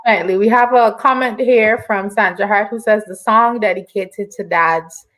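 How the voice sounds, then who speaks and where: Mid-pitched woman hosting a casual interview. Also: normal speed, a bit bright. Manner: affirming, encouraging. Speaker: online show host, interviewer. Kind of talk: casual interview, reading viewer questions.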